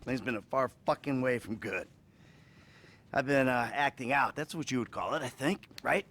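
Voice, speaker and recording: A man's voice: mid-range voice, moderate pace, a bit bright. An actor playing a troubled middle-aged American criminal confessing to his therapist, hesitant, sighing and sardonic, in a naturalistic video game style. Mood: agitated, bitter.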